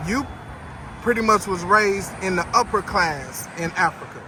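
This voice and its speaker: A man with a notably high voice hosts a street interview, asking direct questions casually. Clear traffic and street noise run behind him outdoors. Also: expressive, normal speed.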